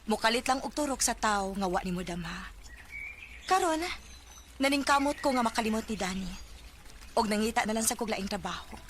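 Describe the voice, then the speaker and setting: A woman's voice: a little high, animated, fairly quick, long pauses, close to an everyday voice. An actress playing an anxious, tender young working woman in a Filipino radio drama, speaking with a Bisaya accent. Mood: sad, resigned. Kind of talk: Filipino radio drama acting and first-person narration. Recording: noisy radio drama with ambient effects.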